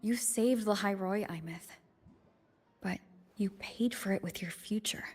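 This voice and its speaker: A quiet, composed young woman in a video game. Her voice is mid-pitched and very breathy, with a soft, understated delivery. Music plays behind her. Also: measured pace. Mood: solemn, sad.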